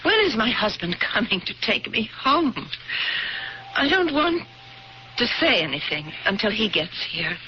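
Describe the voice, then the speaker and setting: A woman's voice: a little high, big pitch swings, measured pace. An actress playing a nervous married woman shaken after a car crash, in a 1970s radio drama, her voice tremulous. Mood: distressed. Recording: lo-fi old radio broadcast with hiss.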